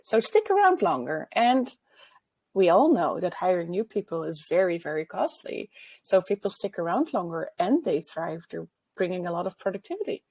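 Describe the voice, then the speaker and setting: A woman's voice: lowish voice, sing-song, normal speed, a little mellow, husky. A woman speaking as a panelist in a discussion, earnest and now and then stumbling on a word.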